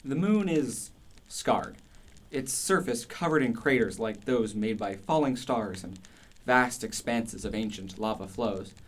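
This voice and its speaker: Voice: mid-range voice, a little slow, close to an everyday voice. An actor playing a passionate, contrarian older philosopher in a radio play, declamatory and emphatic. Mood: fervent.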